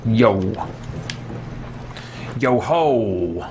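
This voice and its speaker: A man's voice: fairly low pitch, lively intonation, drawn-out words, background noise. A man streaming a video game, giving casual, easygoing commentary and muttering mild exclamations to himself. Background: video game sound effects.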